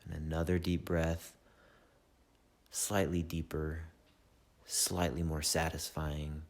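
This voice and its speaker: A man leading a guided meditation in a soothing, measured way, with long pauses between short phrases. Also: rumbling low, flat delivery. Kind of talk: slow guided meditation narration.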